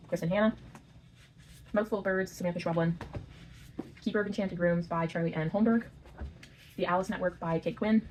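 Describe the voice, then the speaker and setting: A woman from Canada, chatting casually to camera at home as a book vlogger, full of fillers and quick self-corrections. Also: on the low side, a little mellow, a little hiss or hum, poor audio. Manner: chatty, filler-heavy, corrects herself on names. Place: at home, sitting on the floor among books.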